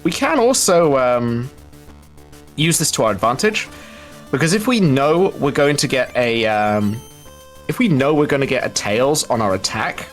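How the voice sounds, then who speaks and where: A man giving live gameplay commentary, explaining things step by step with halting pauses. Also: medium pitch, animated. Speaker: speedrun commentator. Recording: music behind the voice.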